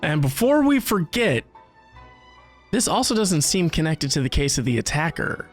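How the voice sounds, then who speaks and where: A man doing casual gameplay reaction commentary in an expressive, wry, colloquial way. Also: fairly high pitch, moderate pace. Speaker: streamer reacting to a game. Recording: faint game music behind.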